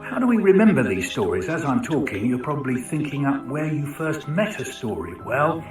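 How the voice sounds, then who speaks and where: Male lecturer, conversational and questioning, mid-pitched, recorded at a distance with music behind. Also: very animated, moderate pace. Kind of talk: informal educational talk with rhetorical questions. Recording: roomy, distant mic with music.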